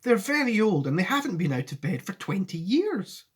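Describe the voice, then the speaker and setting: Earnest, eager storybook boy, played by a man, small and simple, lifted pitch. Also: big pitch swings, moderate pace, slightly rough, exaggerated voice. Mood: worried. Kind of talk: storybook read aloud.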